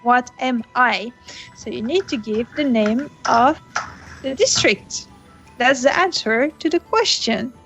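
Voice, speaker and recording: A woman hosting a casual gaming webcast over a call, speaking slowly and laughing often. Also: fairly high pitch. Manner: slow, laughs often, non-native English phrasing. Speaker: podcast host. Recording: dull lo-fi audio with faint music.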